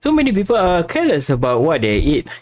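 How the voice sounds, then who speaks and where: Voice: mid-range voice, huge pitch swings, fast talker, a little mellow. Streamer with a widely swinging voice. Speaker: game streamer. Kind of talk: casual livestream, reading game lines aloud and reacting. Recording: game music behind the voice.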